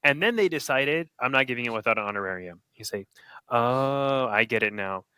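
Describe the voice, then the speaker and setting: A man reflects out loud in a casual podcast conversation. His voice is bright and pushes forward. The recording is dry, with no room sound. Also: mid-range voice, lively intonation, brisk, smooth, dry recording. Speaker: podcast co-host. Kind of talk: casual discussion.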